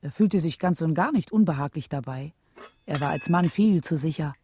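A woman playing a reflective, devoted wife who narrates her memories in a German radio drama, with a husky, mellow, measured delivery. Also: deep voice, lively intonation, a little hiss or hum.

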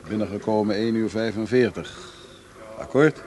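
A man's voice: fairly low pitch, moderate pace, close to an everyday voice. An actor in a Dutch radio play, playing a dutiful, deferential male doorman who checks passes. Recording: noisy old radio play, distant sound.

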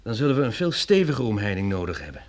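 A man's voice: fairly low pitch, very animated, fast. Een man die in een hoorspel een praktische huisgenoot speelt die voorzichtig maar volhardend hardop meedenkt. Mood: insistent. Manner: tentative but insistent, reasoning aloud. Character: persistent male housemate offering eager practical suggestions. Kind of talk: radio drama acting.

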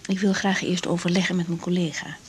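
An actress playing a young, serious, conscientious doctor in a radio drama, speaking carefully and hesitantly. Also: female voice, fairly low pitch, level tone, fast talker, a little hiss or hum, natural voice. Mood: troubled, hesitant. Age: young adult. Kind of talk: 1980s Dutch radio drama acting.

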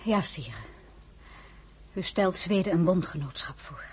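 A woman playing a composed, courteous highborn lady in a radio drama, speaking formally and with restraint. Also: measured pace, lightly acted. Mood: politely reserved.

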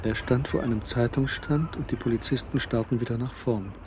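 A man narrating a radio drama in a measured, suspenseful, scripted delivery. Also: medium pitch, steady tone, noisy background.

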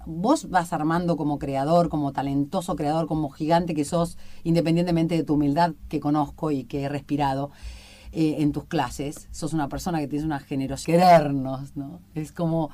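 A woman's voice: deep voice, moderate pace, dark tone, no echo. A woman with an Argentine Rioplatense accent hosting a conversational interview, effusive and rambling in long-winded questions. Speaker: radio show host and interviewer.

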